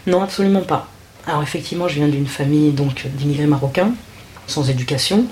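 A woman from France, a journalist, recounting her experience in a thoughtful, measured way with frequent hesitations. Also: low-pitched, darkish tone, slight background noise. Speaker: journalist, interviewee. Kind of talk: reflective interview testimony.

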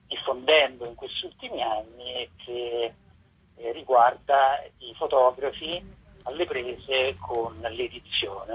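A narrow, tinny telephone line carries a man's very raspy, mid-pitched voice. He is a festival organiser answering an interviewer, explaining in halting phrases that he builds piece by piece. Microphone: phone call.

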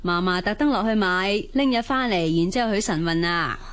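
A woman's voice: mid-range voice, normal speed, fairly bright tone, lightly acted. A woman playing a busy, caring young mother, fussing colloquially in a radio drama. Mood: gentle. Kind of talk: Cantonese radio drama acting.

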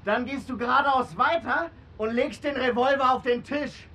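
An actor playing a cocky, threatening young gangster who barks taunts and orders in a crime radio drama. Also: male voice, very high-pitched, moderate pace, bright, forceful tone, exaggerated voice. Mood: threatening, commanding. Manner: taunting, aggressive, barking orders.

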